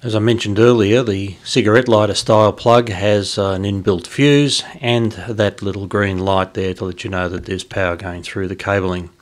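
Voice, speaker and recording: A man, explaining a hobby project informally, in halting phrases with pauses. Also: lowish voice, steady tone. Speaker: host of an amateur radio hobby video. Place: small indoor radio room at home.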